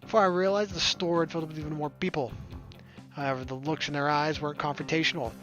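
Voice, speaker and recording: A man's voice: a little high, fairly even intonation, fast, a bit bright, lo-fi sound, faint music behind. A man reading visual novel narration aloud and adding casual commentary as a gaming streamer. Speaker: let's play streamer.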